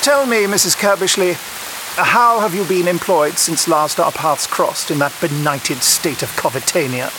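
An actor playing a stern, formal Victorian gentleman detective in a period audio drama, clipped and commanding. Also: a little high, moderate pace, close to an everyday voice. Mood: stern, urgent. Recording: hissy heavy rain behind. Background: pouring rain and running water.

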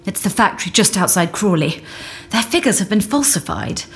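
Efficient investigator, medium pitch, animated intonation, businesslike, audio drama. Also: female voice, normal speed. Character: brisk, businesslike female investigator reporting findings.

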